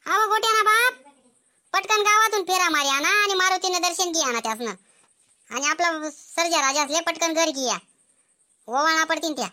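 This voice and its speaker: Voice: extremely high pitch, moderate pace, sharp tone, heavily acted. A performer voicing a proud, bossy village mother in a comedy cartoon, giving insistent instructions in an Ahirani accent. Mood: instructing.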